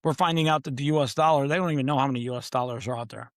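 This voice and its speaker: A man's voice: medium pitch, racing, no echo, clean studio sound. A man speaking as an interview guest, conversational but emphatic, in short punchy declarations with dramatic repetition. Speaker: podcast guest, author.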